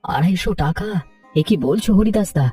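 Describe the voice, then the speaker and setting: Caring, anxious cartoon rickshaw puller male performer, mellow tone, slightly distant over music. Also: sits high, expressive, fairly quick. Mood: worried, caring. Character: poor, caring, worried village rickshaw-puller husband. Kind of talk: Bengali cartoon story dialogue.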